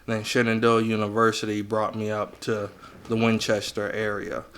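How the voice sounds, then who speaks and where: An American man speaks in a low register with little rise and fall. He talks informally and conversationally, as a guest answering questions in a relaxed podcast interview. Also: normal speed, slight background noise. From United States, Virginia. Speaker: podcast guest, business coach. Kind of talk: casual interview chat.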